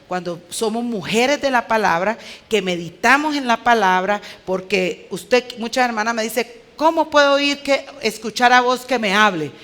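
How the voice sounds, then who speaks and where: A woman's voice: on the high side, moderate pace, fairly bright tone. Colombian woman preaching in emphatic Spanish. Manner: clipped, emphatic delivery, rhetorical questions to the listeners. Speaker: women's conference speaker. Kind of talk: conversational preaching.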